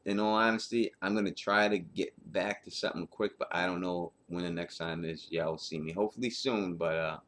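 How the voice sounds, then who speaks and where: Low-voiced man doing informal commentary. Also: a bit bright. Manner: rambling, repetitive, colloquial. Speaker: host of book chapter breakdown.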